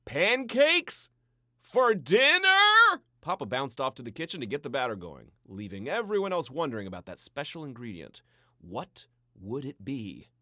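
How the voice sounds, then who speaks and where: A man reading a scripted children's story in a playful, theatrical way with dramatic pauses. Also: mid-range voice, fairly bright tone, dry recording, clean studio sound. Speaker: storybook narrator.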